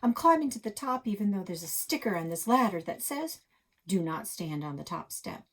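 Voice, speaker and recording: A woman's voice: mid-range voice, very animated, moderate pace. A woman voicing a bold, urgent young female adventurer in a storybook, with an emphatic, commanding manner.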